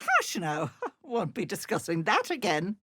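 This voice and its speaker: A woman's voice: medium pitch, huge pitch swings, measured pace, fairly bright tone, slightly rough, no room sound, clean studio sound, heavily acted. An actress voicing a kindly old Irish village woman who fusses and calls people love. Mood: cozy, motherly. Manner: folksy and fussing, calling people love. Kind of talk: video game voice acting.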